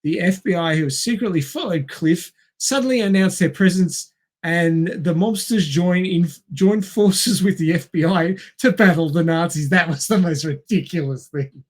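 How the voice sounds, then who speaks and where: Male, slightly high voice, film podcast co-host, casual narration, dry humor, wry delivery. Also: moderate pace. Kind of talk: reading a film plot summary with wry asides and casual chat.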